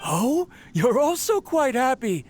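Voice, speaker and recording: High-voiced, earnest eccentric inventor. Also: male voice, very animated, takes it slowly, darkish tone, a little hiss or hum, full character voice. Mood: proud, excited. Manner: theatrical, earnest, talking fondly to his creation. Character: eccentric, ambitious, affectionate male researcher inventor. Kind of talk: video game voice acting, recorded monologue.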